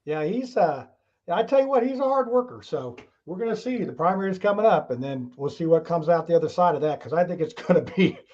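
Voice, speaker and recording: A man's voice: on the high side, lively intonation, fast talker, a little mellow, muffled recording. A man hosting a casual talk show, rambling along in a folksy way with frequent chuckles.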